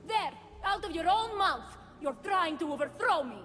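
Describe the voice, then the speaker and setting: An imperious, high-voiced queen goddess. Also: animated, normal speed, a bit bright. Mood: furious. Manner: commanding, theatrical, cutting. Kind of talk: dramatic video game acting. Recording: long hall reverb, music behind.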